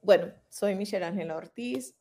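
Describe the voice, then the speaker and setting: A woman's voice: medium pitch, normal speed, warm tone. A woman in her forties from Philadelphia, answering interview questions over a video call in a reflective, storytelling way. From Philadelphia, USA. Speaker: muralist guest. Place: room at home. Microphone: laptop webcam.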